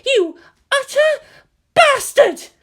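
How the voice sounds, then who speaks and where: A man voicing a furious, protective woman soldier in a story, snarling in a strained shout. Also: extremely high pitch, big pitch swings, very slow, pitched above the performer's own voice, exaggerated voice. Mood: enraged.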